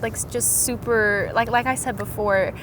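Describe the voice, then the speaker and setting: A young woman in her twenties chatting in a casual interview as a guest, upbeat and quick to laugh. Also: fairly high pitch, fairly even intonation, background noise. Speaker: interview guest, content creator.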